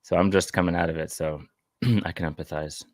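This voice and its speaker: A man hosting a relaxed online show about a card game, chatting and joking with a guest. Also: deep voice, fairly quick, no echo. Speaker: host.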